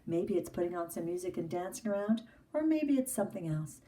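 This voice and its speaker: A woman teacher reading a picture book aloud to children in an expressive storybook manner. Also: lowish voice, a little fast, recorded from a distance.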